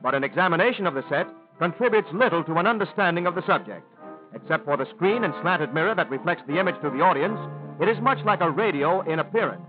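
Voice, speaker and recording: A man's voice: fairly high pitch, lively intonation, quick pace, narrow, tinny audio, over music. A man with an American accent reading scripted narration in a formal, declarative 1930s newsreel announcer style. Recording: thin vintage 1930s film soundtrack.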